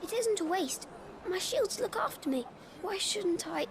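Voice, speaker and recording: A woman's voice: extremely high pitch, animated, measured pace, noisy background, full character voice. A woman voicing an eager, innocent young boy, an admiring little brother in a fantasy video game. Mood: mild, stubborn.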